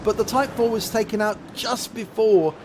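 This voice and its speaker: A man commentating on a tank game replay, a bit rough and nasal from a cold, explaining each shot and the damage it does. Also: high-pitched, moderate pace, background noise. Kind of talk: gameplay commentary over a replay. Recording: loud game battle sounds behind voice. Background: tank game gunfire and engines.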